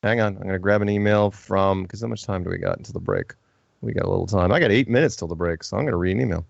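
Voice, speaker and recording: A man's voice: deep voice, racing, vocal fry, dry recording. A man hosting a live call-in radio show, chatting casually with dry, self-deprecating humour. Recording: dull, lo-fi broadcast sound.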